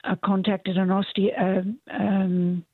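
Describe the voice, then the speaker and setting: A woman with a croaky, hoarse voice, calling in to a radio show, listing her problems with many fillers. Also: mid-range voice, little pitch movement, unhurried, no room sound. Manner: lists details with many fillers. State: frustrated. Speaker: radio caller. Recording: phone line.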